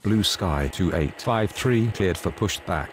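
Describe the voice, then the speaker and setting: A man's voice: deep voice, lively intonation, measured pace, background noise. A man voicing a businesslike airport radio operator in a simulator game, reading scripted aviation calls in a clipped, procedural way. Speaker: pilot and air traffic controller radio voice.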